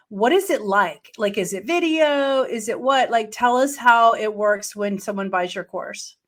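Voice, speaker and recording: Woman podcast host, fairly high voice, eager and complimentary, halting questions. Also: fairly bright tone. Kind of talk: casual interview chat.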